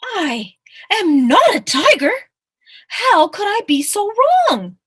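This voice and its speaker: A woman puts on a small, bold mouse from a picture book. The voice is high and emphatic, slowing down on key words. He is cocky and utterly sure of himself. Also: animated, a bit bright, heavily acted. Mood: amazed, dramatic. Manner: boastful, declaring, drawn-out emphatic words. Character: tiny bold cocky mouse who insists he is a tiger.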